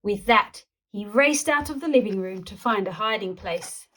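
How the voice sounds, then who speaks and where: A woman storyteller reading a children's picture book aloud in an animated narrating style. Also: medium pitch, moderate pace.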